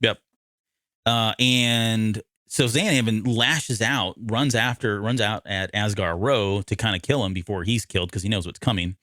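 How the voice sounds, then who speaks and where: A man co-hosting a podcast, recapping a story in casual, halting conversation and often correcting himself. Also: on the low side, bright, forceful tone, dry recording, studio quality. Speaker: podcast co-host. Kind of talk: casual discussion recapping an audio drama.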